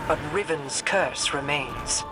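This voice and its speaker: An actress playing a commanding queen in a video game, speaking in a regal, formal and measured way. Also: sits low, lively intonation, music in the background. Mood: composed, commanding.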